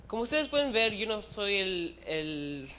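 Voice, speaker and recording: A young man in his twenties, from Chile, gives a youth sermon in a church hall. His voice is high and he tells his stories in a lively, self-deprecating way, mixing in English words. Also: measured pace, clean tone. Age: young adult. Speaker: youth preacher. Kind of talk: casual storytelling sermon.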